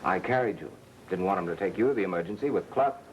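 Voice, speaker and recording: A man's voice: sits low, lively intonation, fast talker, a little hiss or hum, low-quality recording. A man acting in a 1930s film as a cool, matter-of-fact radio engineer who takes command. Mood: cool, certain. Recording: 1930s film soundtrack with music.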